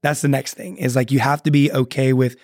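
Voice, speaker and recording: A man's voice: mid-range voice, fairly even intonation, fairly quick, darkish tone, no room sound, studio quality. A man speaking earnestly as a podcast guest, often restarting his sentences. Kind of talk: casual podcast chat.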